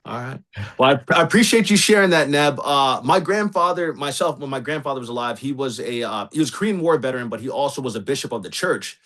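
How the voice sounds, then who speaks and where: Virginia guy hosting a podcast, talks fast, lots of okay and yeah. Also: medium pitch, animated, fairly bright tone. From Virginia, USA. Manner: friendly, affirming interjections. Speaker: podcast host. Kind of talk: casual interview.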